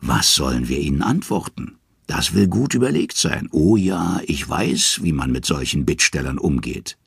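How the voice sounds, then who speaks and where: Storybook underworld queen, played by a man: malicious, imperious, husky and creaky, menacing delivery. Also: extremely low pitch, animated, normal speed, a bit bright, dry recording, clean studio sound, heavily acted. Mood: gloating.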